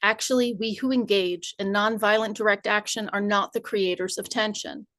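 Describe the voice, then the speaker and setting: A woman from the community reading a written text aloud in a measured, deliberate, formal recitation. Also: medium pitch, steady tone, fairly bright tone, no room sound, studio quality.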